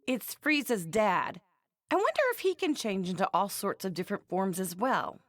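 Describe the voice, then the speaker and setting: An actress playing a plainspoken, teasing young woman in an English-dubbed anime game, with a casual, expressive manner. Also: mid-range voice, normal speed, dry recording, close to an everyday voice. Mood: wry, curious.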